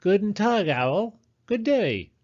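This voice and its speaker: A man's voice: high voice, sing-song, slow, a little mellow, muffled recording, pitched above the performer's own voice, heavily acted. A man voicing a friendly, chatty female mole in a children's storybook, fussy and sociable. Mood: polite.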